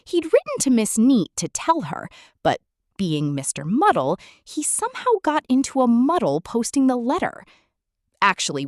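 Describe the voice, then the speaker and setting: A woman's voice: on the high side, dry recording, studio quality. A woman storyteller reading a children's book aloud theatrically, addressing the listener directly.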